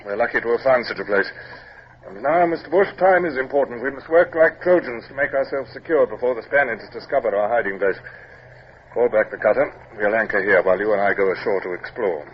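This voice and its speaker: An actor playing a stern, formal British naval captain with a clipped, commanding manner in an old radio drama. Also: male voice, medium pitch, a little fast, lightly acted. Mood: brisk, commanding. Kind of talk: 1950s radio drama acting with first-person narration. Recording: muffled, noisy old 1950s radio recording.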